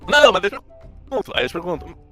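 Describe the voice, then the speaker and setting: Lively, argumentative man in casual banter. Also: high voice, rapid-fire. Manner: slangy, argumentative, mock-exasperated. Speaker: podcast panelist and player in the campaign. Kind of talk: casual, bantering group chat. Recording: music behind the talk. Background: music.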